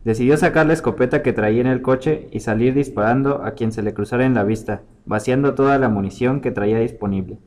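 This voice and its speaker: A man's voice: mid-range voice, a little fast, few pauses, darkish tone. A man reading a scripted short story aloud as its storyteller.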